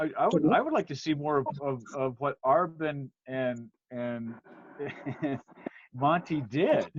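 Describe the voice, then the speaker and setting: A man chatting casually on a panel, expressive and halting, often repeating words as he goes. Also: medium pitch, dry recording, dull audio. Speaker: voice actor on a panel.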